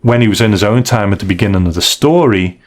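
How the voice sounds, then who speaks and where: A man co-hosting a casual podcast discussion, analysing stories in a measured, thoughtful, hedging way. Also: lowish voice, lively intonation. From outside the United States. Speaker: podcast co-host.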